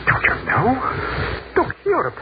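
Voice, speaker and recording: Intense army captain, wide-swinging pitch. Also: male voice, huge pitch swings, exaggerated voice. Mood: awestruck, urgent. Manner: dramatic, halting, insistent delivery. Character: dead army captain, male, intense, awestruck and urgent. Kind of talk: 1940s radio acting. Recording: muffled, very noisy 1940s radio recording.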